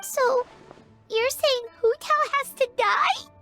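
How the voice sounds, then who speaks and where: A woman's voice: very high voice, lively intonation, slow pace, bright, forceful tone, soft background music, exaggerated voice. A woman voicing a small, chirpy female fairy companion in a video game, bubbly and eager. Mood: curious.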